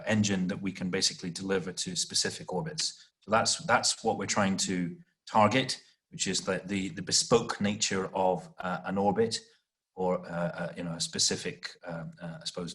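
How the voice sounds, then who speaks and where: A man answering as an executive guest in an interview, explaining off the cuff with halting, repeated words as he searches for phrasing. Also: low voice, steady tone, fairly bright tone. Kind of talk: panel interview, extemporaneous explanation.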